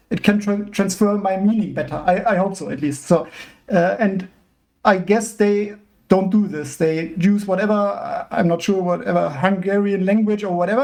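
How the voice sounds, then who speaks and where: High, gravelly man. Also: a little mellow. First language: German. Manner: deliberate, searching for words. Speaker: guest, open-source developer. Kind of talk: casual podcast conversation.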